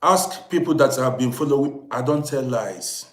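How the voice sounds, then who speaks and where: A man's voice: mid-range voice, normal speed. Emphatic man in his late fifties, echoey room. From Vienna, Austria. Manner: emphatic, repeats phrases insistently. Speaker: live-stream host and storyteller. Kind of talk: informal livestream talk to viewers.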